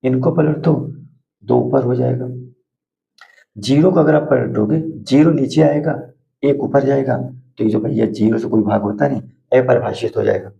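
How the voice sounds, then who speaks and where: A hoarse man teaching math to school students at a board, repeating steps and asking questions that he answers himself. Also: mid-range voice, normal speed, mellow, lo-fi sound. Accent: Hindi.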